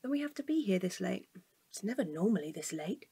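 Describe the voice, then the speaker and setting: An actress playing a level-headed, exasperated woman in a comic audio drama, speaking in a deadpan, matter-of-fact way. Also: medium pitch, a little fast, creaky, husky, heavily acted. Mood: pensive.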